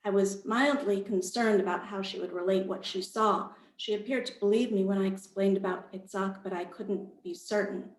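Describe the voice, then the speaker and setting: A woman's voice: mid-range voice, steady tone, normal speed, few pauses. A woman author speaking at a literary event, reading her fiction aloud and talking about her work. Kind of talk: reading own fiction aloud, then panel talk. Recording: roomy echo.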